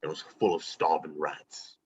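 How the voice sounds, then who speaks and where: A man playing a gruff, guarded mercenary father with a husky voice and terse delivery in an amateur table read. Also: rumbling low, level tone, normal speed. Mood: haunted, protective. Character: gruff, guarded middle-aged mercenary father, quietly protective. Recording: dull lo-fi microphone.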